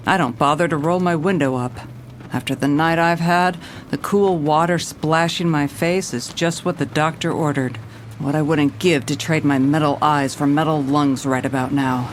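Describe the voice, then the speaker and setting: A woman's voice: deep voice, steady tone, moderate pace, a little hiss or hum, natural voice. An actress playing a hard-boiled, weary female police commissioner in a noir audio drama, with a wry, clipped delivery. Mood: wry, defiant. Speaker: narrator and lead character.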